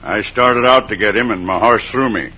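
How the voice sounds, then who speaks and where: An actor playing an easygoing, plain-talking cowboy in an old western radio drama, with a husky voice and a folksy drawl. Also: male voice, lowish voice, normal speed. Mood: unruffled, protesting. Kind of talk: 1940s-50s western radio acting. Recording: dull old radio recording.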